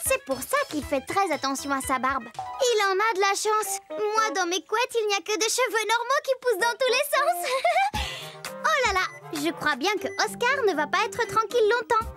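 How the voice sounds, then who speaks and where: A performer voicing an excitable, chatty little girl who confides playfully in the viewer of a children's cartoon. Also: very high voice, moderate pace, music in the background. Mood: playful storytelling.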